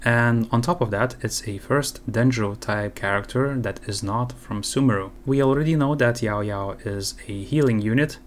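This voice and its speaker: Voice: medium pitch, slight background noise. A gaming video host giving casual reaction commentary.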